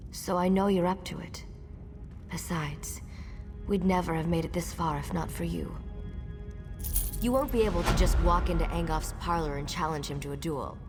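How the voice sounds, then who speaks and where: A woman acts as a resolute fantasy-game battle mage who rallies her allies. Her voice sits a little low and sounds earnest and purposeful. Music plays clearly underneath. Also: natural voice. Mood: determined. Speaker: game character. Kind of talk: scripted fantasy game dialogue.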